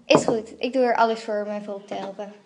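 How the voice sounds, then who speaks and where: A woman's voice: medium pitch, steady tone, fast talker, background noise, natural voice. A woman playing a brave, thrill-seeking princess in amateur radio drama, with a plain, casual delivery. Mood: determined.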